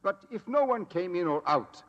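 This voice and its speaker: An actor playing a middle-aged, defensive manor owner, huffy and protesting in old radio drama style. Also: male voice, high voice, expressive, normal speed. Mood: indignant, defensive. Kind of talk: 1940s radio acting. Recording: muffled old radio recording, reverberant hall.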